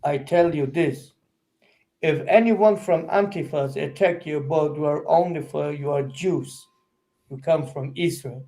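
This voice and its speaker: A Turkish man speaking halting, non-native English in a street debate, often repeating words as he searches for them. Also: on the high side, warm tone. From Turkey. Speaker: activist.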